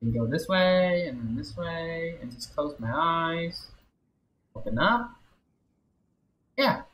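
A man's voice: fairly high pitch, lively intonation, slow, a bit bright, a little hiss or hum. A young man streaming a game with casual, laid-back and slightly hesitant chat. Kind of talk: casual livestream gameplay chat. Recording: roomy echo, game music behind. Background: game music.

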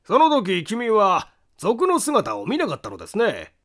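A man as a probing police inspector, lively intonation. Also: high voice, a little slow, voice pushed up, exaggerated voice. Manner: pointed, polite questioning.

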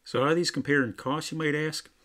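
A man's voice: on the high side, steady tone, fast talker, bright, forceful tone. A man narrating a plain, matter-of-fact home test of laundry detergents as a product reviewer.

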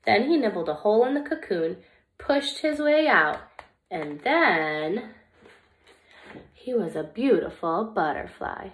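A young woman who teaches small children, reading a picture book aloud in an expressive, deliberate way meant for little listeners. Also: medium pitch, low-quality recording. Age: young adult.

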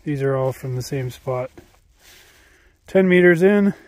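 A man's voice: medium pitch, a little slow, no room sound. A man casually narrating outdoors at a snowy old mine site, naming minerals as he holds up rock samples for a handheld camera. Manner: matter-of-fact, names minerals while showing rock samples. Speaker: mineral exploration vlogger.

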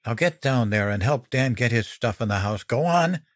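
A man's voice: on the low side, moderate pace, no room sound, clean studio sound, close to an everyday voice. A man voicing an angry, domineering mother who scolds her son sternly and indignantly in an audiobook. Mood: threatening. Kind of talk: dramatic audiobook character voice.

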